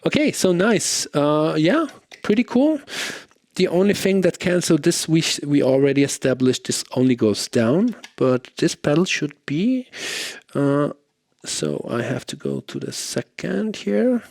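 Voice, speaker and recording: A man reviewing gear in a casual, off-the-cuff way, thinking aloud in a halting manner. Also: a little high, expressive, creaky, darkish tone, dry recording. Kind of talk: casual off-the-cuff pedal demo chat.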